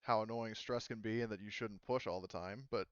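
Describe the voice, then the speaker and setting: A man chatting casually as a game master, explaining things in a halting, conversational way. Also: fairly low pitch, clear, resonant tone, dry recording, lo-fi sound. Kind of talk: casual chat, running a tabletop game session.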